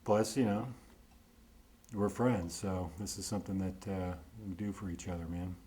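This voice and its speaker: A man with a fairly low voice conducts a casual interview with a friend. His talk rambles and stays loose, filled with "man" and the occasional curse. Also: fairly even intonation, normal speed, warm tone. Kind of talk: casual chat between friends.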